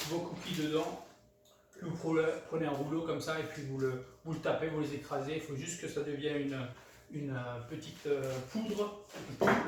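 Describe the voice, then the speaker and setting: A man from France hosts a casual cooking tutorial, hesitant and full of fillers and restarts. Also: fairly high pitch. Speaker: home cook, channel host. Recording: very echoey room, mic far off, clear background noise.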